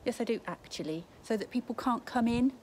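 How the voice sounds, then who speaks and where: Woman reading as young girl, frightened but defiant, pitched up, noisy outdoor recording. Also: animated, brisk, darkish tone, close to an everyday voice. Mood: indignant. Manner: startled, indignant, questioning. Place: garden outdoors.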